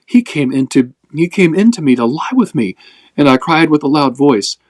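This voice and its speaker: Man voicing an indignant high-pitched noblewoman. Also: animated, normal speed. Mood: indignant, accusing. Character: indignant accusing noblewoman, loud and aggrieved.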